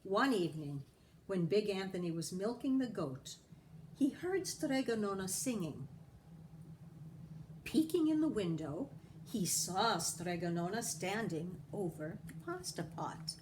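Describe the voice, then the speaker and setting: A woman reading a children's story aloud as a storyteller, deliberately and with care for young listeners. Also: mid-range voice, sing-song, darkish tone, a little hiss or hum.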